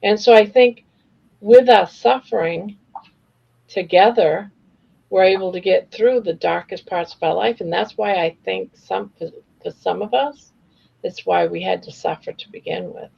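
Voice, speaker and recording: Creaky-voiced older woman, slightly muffled. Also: mid-range voice, unhurried. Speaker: host of a live crochet chat panel. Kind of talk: casual personal chat. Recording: slightly dull, lo-fi livestream audio.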